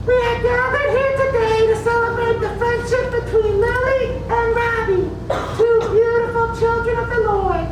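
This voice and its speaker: A woman's voice: very high voice, steady tone, normal speed. A woman playing a child officiant who solemnly leads a pretend wedding in a staged play reading, with a mock-ceremonial manner. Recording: very echoey distant recording, room noise.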